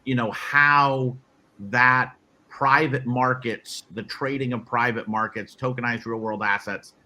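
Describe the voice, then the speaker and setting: A man's voice: mid-range voice, fairly even intonation, a little slow, sharp tone. A man hosting a webinar panel, talking loosely with many restarts. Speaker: webinar host, moderator. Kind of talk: casual panel moderation.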